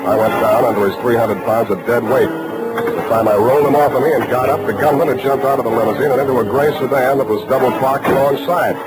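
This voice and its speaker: An actor playing a wisecracking, sardonic private detective in a 1940s-style radio drama. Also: male voice, mid-range voice, fairly even intonation, fast talker, over loud music, natural voice, low-quality recording. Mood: tense narration. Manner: dry, sardonic, wry. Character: wisecracking hard-boiled male private detective. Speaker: actor, narrator and lead. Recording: old radio broadcast recording.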